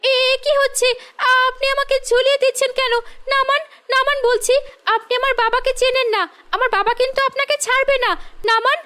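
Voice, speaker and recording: A woman voicing a jealous, greedy young girl in a cartoon fairy tale, with quick, excitable, whiny exclamations. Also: extremely high pitch, pitched above the performer's own voice. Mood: indignant, alarmed. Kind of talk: animated fairy-tale voice acting.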